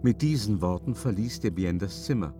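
A man's voice: lowish voice, lively intonation, moderate pace, mellow, soft background music, lightly acted. A man acting a mocking, self-assured opera house director in a German radio drama, both narrating and conversing. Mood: measured, narrating. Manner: incredulous, mocking, swooping into scorn.

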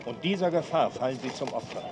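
A man's voice: mid-range voice, lively intonation, quick pace, close to an everyday voice. A man playing a war correspondent in a radio play, reporting news from a siege front. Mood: urgent reporting. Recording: outdoor field report with loud battle noise.

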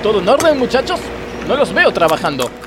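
A man's voice: high-pitched, sing-song, brisk, background noise. A man playing a strict, preachy blind factory boss with an Argentine accent in a radio comedy sketch. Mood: suspicious, preachy. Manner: stern, sanctimonious, curt.

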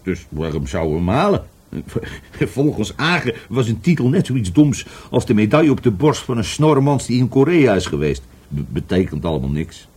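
Husky, stammering, volatile businessman under questioning. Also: medium pitch, very animated, normal speed, darkish tone. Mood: reminiscing. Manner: stammering, repeating words, rambling. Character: evasive, volatile middle-aged businessman questioned by police. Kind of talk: Dutch radio play acting.

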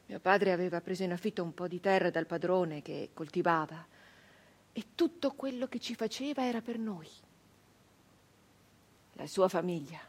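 Wistful 1800s peasant brigand woman, highish husky voice, halting stagey radio monologue. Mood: tender, remembering. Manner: hesitant repetitions, halting, emotional reminiscence. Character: 19th-century Italian peasant-born brigand woman, wistful, remembering home. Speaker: stage actress. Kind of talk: theatrical dramatic monologue for radio.

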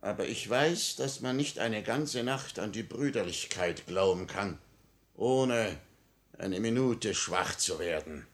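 Slow, suave, menacing police minister. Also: male voice, fairly low pitch, lively intonation. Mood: smug, patient. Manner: suave, mocking politeness. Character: menacing, smugly courteous police minister, patient manipulator. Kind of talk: 1950 radio drama acting. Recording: vintage 1950 radio recording.

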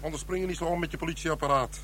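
A man plays a cynical, disgruntled police technician in a radio play, scornful and bright in tone. Faint noise runs behind him. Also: on the high side, fairly even intonation, racing, natural voice, rough recording.

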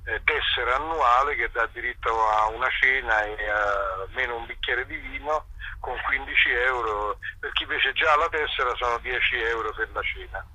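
A man's voice: mid-range voice, normal speed, sharp tone, breathy edge. A man chatting casually over a telephone line, explaining in a rambling, hesitant way with wry, self-deprecating asides. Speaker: event organiser, interview guest. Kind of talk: casual phone interview, informal explaining. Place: remote phone call to a radio show.

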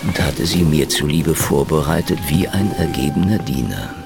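A man's voice: rumbling low, moderate pace, gravelly, over loud music. A man playing a cunning, hard-drinking old private detective in a hard-boiled radio drama, taunting and wordy in his wisecracks. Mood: grim narrating. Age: older.

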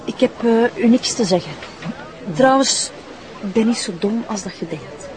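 A woman's voice: fairly high pitch, expressive, moderate pace, a crowd in the background, noisy background, natural voice. A woman playing a bitter, defiant young runaway addict in a TV drama, with a Flemish accent and a coarse, contemptuous way of talking. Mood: defiant, guarded. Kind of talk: naturalistic TV drama acting.

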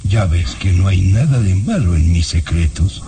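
Deep, stern baron of a father. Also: expressive, dark tone. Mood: grave, evasive. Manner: commanding, slow, deliberate. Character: stern, secretive baron, authoritarian father in old castle. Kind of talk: melodramatic radio drama acting. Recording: faintly noisy old radio recording.

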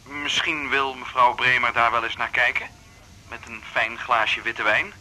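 A man's voice: medium pitch, steady tone, unhurried, bright, forceful tone, low-quality recording. An actor in a radio drama playing a charming man courting a woman over the telephone. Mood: charming, wooing. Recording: faint noise behind the voice.

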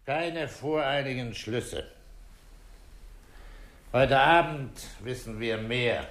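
An actor playing a middle-aged police commissioner in a radio crime drama, terse, calm and dryly authoritative. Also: male voice, mid-range voice, takes it slowly, over music, natural voice. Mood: brisk. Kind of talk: 1960s German radio crime drama acting.

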